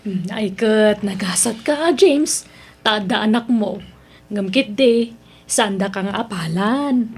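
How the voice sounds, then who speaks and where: A woman playing a gentle vendor wife. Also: mid-range voice, slow pace, slightly rough. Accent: Ilocano. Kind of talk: Ilocano audio drama acting.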